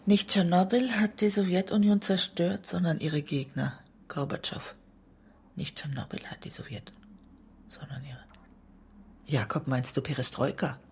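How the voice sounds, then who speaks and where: An actress in a German radio drama playing an anguished woman in love with a self-destructive writer, often speaking in a hushed, breathy way. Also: on the low side, steady tone, measured pace, slight background noise. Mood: soft, affectionate. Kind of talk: intimate German radio drama acting, part poetry.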